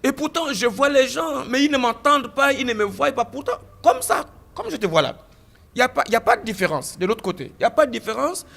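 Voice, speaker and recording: A man giving a testimony in an interview, telling his story with animated delivery, stammered restarts and repeated phrases. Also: very high-pitched, fast talker, creaky. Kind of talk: recounting a personal story in an interview.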